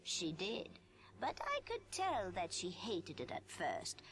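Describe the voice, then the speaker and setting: Slow, gentle blind old granny. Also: female voice, medium pitch, huge pitch swings, full character voice. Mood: gentle, wistful.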